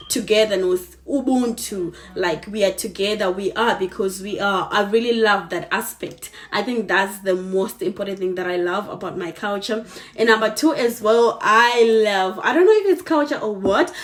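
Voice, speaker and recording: A woman from Zimbabwe with a Zimbabwean accent, a native Shona speaker, chatting casually as a vlogger in an animated, emphatic way that repeats phrases for stress. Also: medium pitch. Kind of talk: casual chat to camera.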